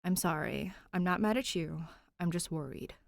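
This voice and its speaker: A woman's voice: fairly low pitch, normal speed, vocal fry, no echo. Protective young policeman, played by a woman, earnest and apologetic, recorded dry for a fan fiction audiobook. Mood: contrite. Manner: placating, worried, pleading.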